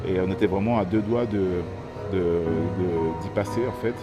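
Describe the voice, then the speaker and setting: A man in his thirties from French Guiana, telling his own story haltingly, with many fillers and restarts. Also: sits low. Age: 30s. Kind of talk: personal testimony to camera. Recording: music playing under the voice.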